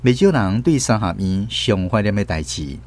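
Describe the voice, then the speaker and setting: A man's voice: lowish voice, sing-song, normal speed, darkish tone. A man with a Taiwanese accent hosting and narrating stories in a scripted radio storytelling style. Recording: music bed behind the voice.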